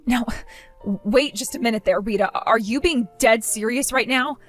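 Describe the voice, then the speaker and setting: A woman's voice: fairly high pitch, normal speed, soft background music, lightly acted. A woman playing a self-centered young bride who whines and pleads with her sister, in a scripted drama. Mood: shocked.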